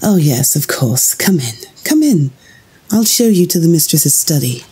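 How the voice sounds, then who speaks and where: A woman playing a polite, deferential housemaid in an ASMR roleplay, with a soft, breathy voice. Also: low-pitched, very animated, unhurried, exaggerated voice. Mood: courteous. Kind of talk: scripted ASMR roleplay acting.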